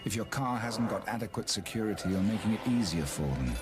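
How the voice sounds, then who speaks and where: Serious deep-voiced guy narrating, loud music under him. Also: animated, fairly quick. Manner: grave, ominous. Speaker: voice-over narrator. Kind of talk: scripted narration.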